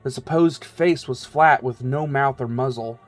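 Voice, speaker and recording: Male narrator, mid-pitched, scripted storytelling with music underneath. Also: a little slow.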